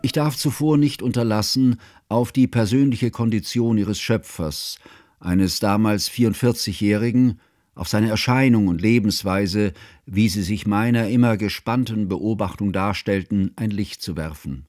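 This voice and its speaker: An actor playing a grave, scholarly older man who narrates his composer friend's life in a radio play, solemn and measured in ornate literary phrases. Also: fairly low pitch, darkish tone, dry recording, studio quality, lightly acted. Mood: solemn, precise.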